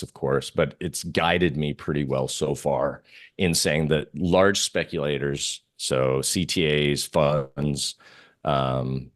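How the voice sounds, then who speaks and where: A man giving casual market commentary as an interview guest, informal and often repeating himself as he thinks aloud. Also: rumbling low.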